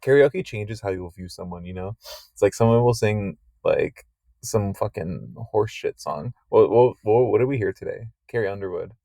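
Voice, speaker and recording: Young dude with a real deep mellow voice, swearing a lot, deadpan jokes, dry close mic. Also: male voice, normal speed, creaky, no room sound. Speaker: podcast co-host. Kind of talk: casual chat.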